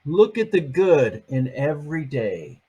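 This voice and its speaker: Slow, husky-voiced older man reading aloud. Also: fairly high pitch, big pitch swings, lo-fi sound. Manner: chatty asides between pages, gentle and playful. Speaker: grandfather reading to his grandchildren. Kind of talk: reading a picture book aloud.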